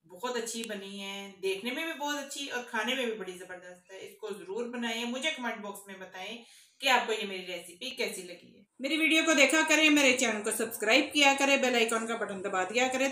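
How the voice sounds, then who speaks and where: A woman's voice: fairly high pitch, sharp tone. A middle-aged woman, a cooking channel host talking viewers through a recipe step by step in a friendly, chatty way in her home kitchen. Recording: echoey kitchen with faint background noise.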